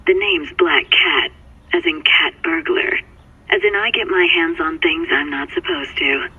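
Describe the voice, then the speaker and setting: A woman's voice: fairly low pitch, sing-song, measured pace, husky, exaggerated voice. An actress playing a flirtatious female cat burglar who teases over a phone call, in a sultry, playful way. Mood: teasing. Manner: sultry, teasing. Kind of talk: video game cutscene.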